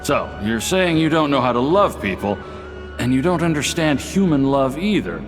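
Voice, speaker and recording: Casting: male actor for gentle, reassuring thinker in a game, medium pitch, reverberant sound with music behind. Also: sing-song, normal speed, lightly acted. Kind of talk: video game voice acting.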